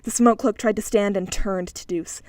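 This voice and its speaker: A woman's voice: medium pitch, fairly even intonation, moderate pace, darkish tone, no room sound, clean studio sound. A woman reading an audiobook, narrating scripted fiction in a dramatic style.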